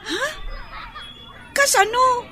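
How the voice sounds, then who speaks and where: A woman's voice: extremely high pitch, extremely slow, sharp tone, full character voice. A woman playing an anxious, wide-eyed little girl in a radio drama.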